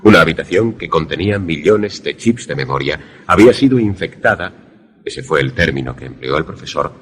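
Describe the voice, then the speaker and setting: A man's voice: very deep voice, expressive, normal speed, fairly bright tone. A man playing a brooding, lovelorn former student who narrates a suspense radio drama in a grave, literary manner. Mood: grave, reflective.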